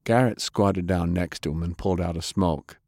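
A man's voice: low-pitched, moderate pace, dark tone, no room sound, studio quality. A man reading scripted narration from a pulp action novel as an audiobook narrator.

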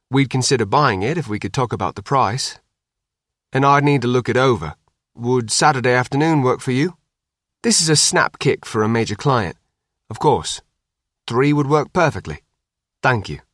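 A male audiobook reader acts out a businesslike man on a call. He is polite and brisk, with polished phrasing. The recording is close and dry. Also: mid-range voice, expressive, a bit bright, dry recording, voice pushed up, lightly acted. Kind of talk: audiobook character dialogue.

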